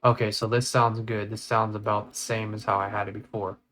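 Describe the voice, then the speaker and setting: Man, casual software tutorial, thinking aloud, little pitch movement, faint noise and clicking. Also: level tone, fairly quick. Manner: informal, thinking aloud while working. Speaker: tutorial creator. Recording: clear background noise, mouse clicks.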